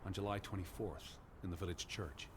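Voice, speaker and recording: A man acting as a deep-voiced American scholar from the 1920s in a narrative game. He sounds earnest, proper and cautious, with measured phrasing and clearly audible ambient noise behind him. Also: steady tone, natural voice. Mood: earnest, reasoning. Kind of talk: naturalistic video game voice acting.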